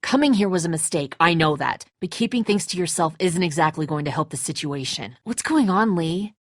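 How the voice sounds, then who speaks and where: A woman's voice: lowish voice, lively intonation, brisk, no room sound, clean studio sound. An actress playing a tense, defensive woman who leads a group of survivors in a dramatic video game, blunt, confrontational and exasperated. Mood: uneasy, suspicious.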